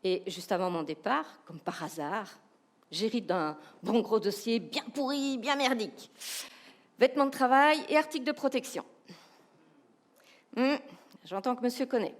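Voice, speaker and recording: A woman with a Belgian French accent giving a humorous, animated keynote talk, asking the audience rhetorical questions. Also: fairly high pitch, normal speed, long pauses. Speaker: keynote speaker, professional coach.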